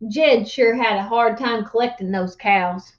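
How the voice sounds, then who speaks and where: A folksy old man played by a woman spins boastful tall tales from a children's storybook. The voice is high and given to grand exaggeration, on a slightly dull, lo-fi recording. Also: a little slow, close to an everyday voice. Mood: boastful, lively. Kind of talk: children's storybook read-aloud.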